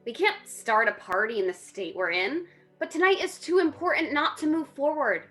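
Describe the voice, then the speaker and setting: A woman acts a young sorority sister in a scripted drama. Her voice is high, and she is steady and supportive, rallying the others over soft music. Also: normal speed. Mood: resolute.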